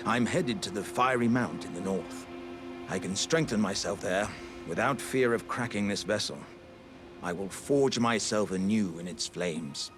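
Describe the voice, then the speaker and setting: A man's voice: on the low side, a little slow, music in the background, lightly acted. A man voicing a big, earnest, jovial living warrior jar in a video game, with theatrical, old-fashioned courteous speech. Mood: wistful, resolute. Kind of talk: video game character acting.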